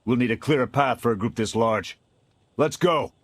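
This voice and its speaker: A man's voice: mid-range voice, normal speed, slightly rough, dry recording, natural voice. An actor playing a jaded male military commander in a video game, talking in terse, clipped soldier phrases. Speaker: squad commander. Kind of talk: video game cutscene acting.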